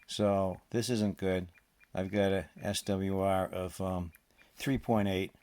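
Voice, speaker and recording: A man giving an informal hands-on demonstration as a radio hobbyist, speaking haltingly and often hesitating. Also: low-pitched, level tone, a little mellow. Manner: halting, hesitant, throat-clearing.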